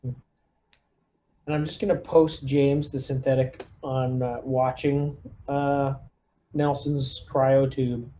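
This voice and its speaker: Guy playing a tabletop rpg, flat kinda warm voice, just chatting. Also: medium pitch, slow, slight background noise, rough recording. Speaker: player acting as squad lieutenant. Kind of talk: casual tabletop game talk.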